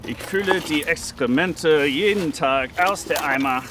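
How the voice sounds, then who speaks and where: A man's voice: a little high, a little slow, close to an everyday voice. Actor as a homeless man amid street noise. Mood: matter-of-fact, proud. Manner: slightly broken German grammar, plain-spoken. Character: proud, easygoing homeless male sanitation worker in Detroit. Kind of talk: naturalistic radio drama, documentary interview. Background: outdoor urban ambience with vehicles.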